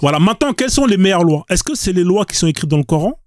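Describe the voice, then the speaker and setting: High-pitched, insistent man debating. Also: animated, very fast, darkish tone. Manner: insistent, interrupting, repeats questions. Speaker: host of a live debate. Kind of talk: heated live debate.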